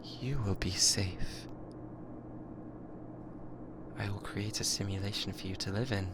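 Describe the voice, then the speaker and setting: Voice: low voice, steady tone, normal speed, very noisy, natural voice. A performer with a British accent voicing a possessive, lovesick sentient AI in a binaural ASMR roleplay, with stilted formal phrasing that often slips into whispers. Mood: obsessive, resolute. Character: possessive lovesick yandere spaceship AI, newly sentient. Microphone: close binaural microphone.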